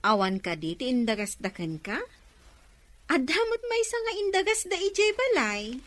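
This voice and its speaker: Nosy, envious village gossip acted by a woman, high voice, very animated delivery, audio drama. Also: normal speed. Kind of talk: Ilocano audio drama acting.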